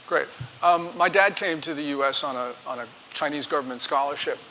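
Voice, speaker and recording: Forceful man lecturing in a hall. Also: on the high side, a little fast. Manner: forceful, emphatic, editorializing. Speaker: guest speaker. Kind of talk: lecture with audience Q&A. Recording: reverberant hall with faint hiss.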